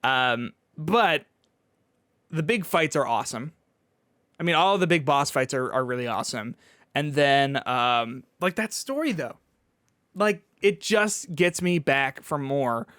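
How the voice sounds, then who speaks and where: A man co-hosting a podcast, chatting casually about video games in a halting way, often restarting his thoughts. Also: on the high side, fairly bright tone, clear, resonant tone, no echo, clean studio sound. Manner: halting, filler-heavy, starts and restarts thoughts. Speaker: podcast co-host and games reviewer. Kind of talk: casual video game chat.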